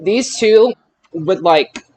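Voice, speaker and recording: High-voiced man hosting a casual podcast. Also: expressive, darkish tone. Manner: halting, repeats words while recalling details. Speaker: podcast host. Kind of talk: casual wrestling results recap.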